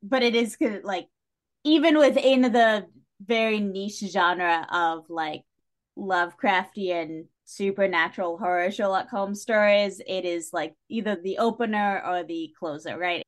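Female podcast guest, conversational and emphatic, full of opinions, mid-range pitch. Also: a little slow. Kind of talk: casual podcast discussion.

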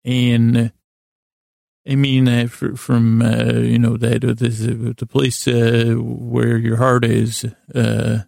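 A man hosting a sleep podcast with a lulling, languid bedtime monologue, meandering and halting, often stammering into false starts. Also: medium pitch, monotone, a little mellow, no room sound, clean studio sound. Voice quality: gravelly, creaky. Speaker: podcast host. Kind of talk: rambling bedtime monologue to help listeners sleep.

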